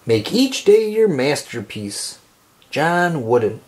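A man reads a picture book aloud for children in a fairly high voice. His delivery is slow and deliberate, and his intonation swings up and down expressively through each short phrase. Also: very animated, a little mellow.

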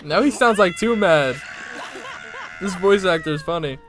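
A man's voice: sits high, moderate pace. A young man giving casual, slangy reaction commentary into a close desktop microphone. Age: young adult. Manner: slangy, animated, exclaiming. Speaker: reaction video host. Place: small indoor room at a desk. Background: game video audio and music.

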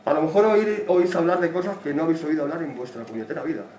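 A man with a Spanish accent opens an event with a passionate, defiant speech, holding a handheld mic. His voice sits a touch high, and the room echoes around it. He sounds a little distant from the mic, over faint background noise. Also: quick pace, dark tone. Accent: Spanish from Spain. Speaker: channel host opening an event. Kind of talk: emotional welcome speech. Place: small indoor meeting room.

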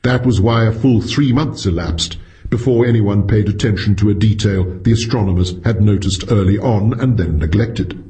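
A man narrating a science fiction story as a scripted audiobook, with an expressive storytelling delivery. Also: fairly low pitch, moderate pace.

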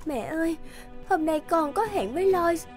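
A tender young fairy-tale maiden with an extremely high, plaintive voice. She speaks emotionally and theatrically, as in a cartoon, with music behind her. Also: lively intonation, moderate pace. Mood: pleading, hurt. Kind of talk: animated fairy-tale dubbing.

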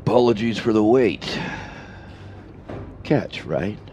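A man playing a stern, suspicious spaceport security boss in a science fiction audio drama, slow and menacing in manner. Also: fairly low pitch, expressive, dark tone, slight background noise. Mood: stern, probing.

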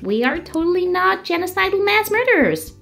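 Lady doing cute cartoon ponies, really high n bouncy, music behind. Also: sing-song, fairly quick, vocal fry, fairly bright tone, full character voice. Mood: nervous justifying. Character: sweet cartoon pony mares, one bookish, one timid. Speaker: voice actor in a comic dub. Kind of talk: cartoon comic dub dialogue.

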